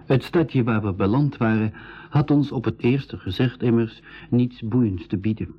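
A man narrating as a young traveller recounting adventures, with measured, scripted storytelling in a school radio drama. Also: mid-range voice, husky. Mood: measured, reflective. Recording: dull 1980s radio recording.

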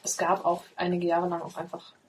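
Young woman, lower voice, easygoing hesitant chat. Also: monotone, a little fast, a little mellow, a little hiss or hum. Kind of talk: casual chat.

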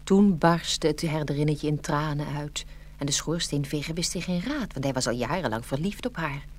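A woman's voice: low-pitched, moderate pace. A woman narrating a scripted fairy tale expressively, as a storyteller.

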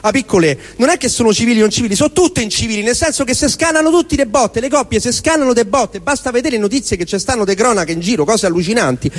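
A man with a Roman accent performing a rambling, exasperated comic monologue as if talking to himself. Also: sits high. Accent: Roman Italian. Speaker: stage performer. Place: live performance venue. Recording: live venue with long reverberation.

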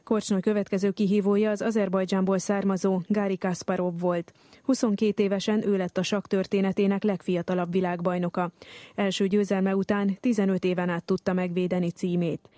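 A woman reading a scripted radio documentary narration in a steady, measured way. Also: mid-range voice, no echo, studio quality.

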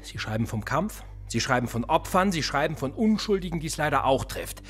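An actor playing a cool, sardonic male police interrogator in a radio play, calmly probing and sarcastic. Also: on the high side, brisk, husky, soft background music, full character voice.

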